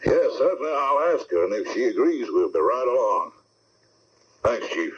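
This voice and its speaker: A man playing a folksy, sympathetic small-town figure in a 1970s radio drama, halting and conversational, on a dull AM broadcast. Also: mid-range voice, expressive, slight background noise, lightly acted. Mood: concerned, surprised.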